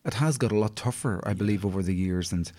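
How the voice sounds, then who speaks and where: Male interview guest, Northern Irish accent, slightly low voice, friendly and talkative, informal storytelling. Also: quick pace, warm tone. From County Derry, Northern Ireland. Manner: chatty, friendly, frequent you-knows. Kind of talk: casual reminiscing interview chat.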